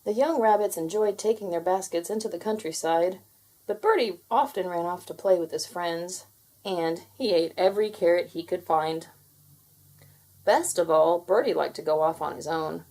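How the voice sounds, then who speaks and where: A woman narrating a children's storybook in an expressive, deliberate read-aloud manner. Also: fairly low pitch, rough recording.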